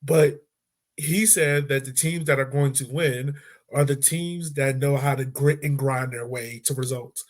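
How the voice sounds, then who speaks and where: A man hosting a podcast in relaxed, chatty sports talk, often laughing and dropping casual fillers. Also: mid-range voice, measured pace. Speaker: podcast host.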